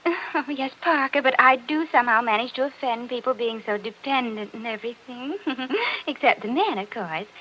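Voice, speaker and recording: A woman's voice: fairly high pitch, expressive, moderate pace, bright, forceful tone. An actress playing a scatterbrained, flirtatious society woman who gushes and dithers in 1940s radio drama style. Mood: coy, fluttery. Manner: gushing, dithering, coy. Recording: dull, noisy 1940s radio recording.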